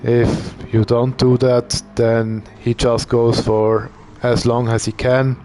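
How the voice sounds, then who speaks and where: A man commentates an online endurance race in a loose, easygoing way, stopping to think as he goes. He speaks slowly at a middling pitch. There is a faint hiss of noise behind him. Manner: relaxed, thinking aloud, with fillers. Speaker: sim racing commentator.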